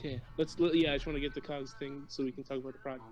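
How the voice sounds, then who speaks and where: A man's voice: medium pitch, fairly even intonation, racing, noisy background. A young man co-hosting a podcast, joking around and telling how he made a character. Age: young adult. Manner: jokey, playful, puts on silly voices. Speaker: podcast co-host, writer. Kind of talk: goofy casual chat.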